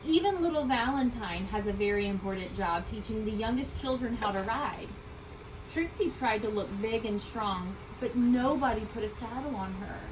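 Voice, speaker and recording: A woman reading a children's story aloud, expressively, with deliberate emphasis on key words. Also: a little high, expressive. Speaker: storybook reader. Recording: distant mic, loud background ambient noise.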